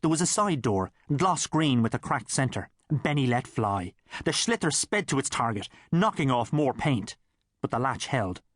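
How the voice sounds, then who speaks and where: A man's voice: medium pitch, big pitch swings, normal speed, dry recording. A man with an Irish accent reading a comic story as an audiobook narrator.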